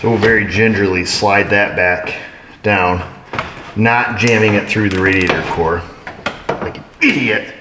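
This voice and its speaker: Middle-aged male mechanic, low voice, dry self-mocking how-to talk, echoey shop. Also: unhurried. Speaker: auto mechanic, shop owner. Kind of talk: casual how-to narration while working. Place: indoor auto repair shop garage. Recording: echoey garage with shop noise.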